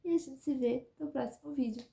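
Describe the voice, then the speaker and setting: A woman's voice: high voice, brisk, vocal fry, very noisy. A woman giving chatty advice to her viewers in a casual vlog, often checking in with "tá?" and "né?". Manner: chatty, repeats "tá?" and "né?". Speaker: vlogger living abroad.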